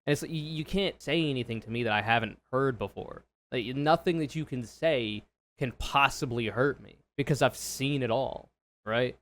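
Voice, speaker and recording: A man with a deep, smooth voice, reacting to a video in frank, casual commentary that is full of swearing. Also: moderate pace, fairly bright tone. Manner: frank, swears freely, thinks aloud.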